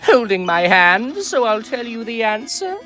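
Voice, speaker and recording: A bombastic, lovably naive skeleton from a video game, full of himself and grandly theatrical. The pitch leaps wildly from low murmurs to high cries, while the pace stays deliberate and slow. Music plays faintly behind the voice. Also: male voice, big pitch swings, heavily acted. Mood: smug, coy. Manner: grandiose, theatrical, swinging from hushed asides to loud exclamations. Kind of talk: amateur video game character dub. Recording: voice over background music.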